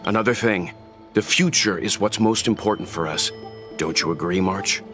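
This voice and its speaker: An actor playing a stern, calm mentor in an anime-style game, giving cautions in a solemn, measured, formal way. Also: male voice, lowish voice, over music, close to an everyday voice. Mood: grave, urgent.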